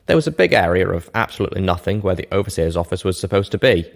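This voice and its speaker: A man with a low, deep voice reads scripted fiction in the first person. The narration is measured and expressive, like a storyteller hosting a reading show. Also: vocal fry.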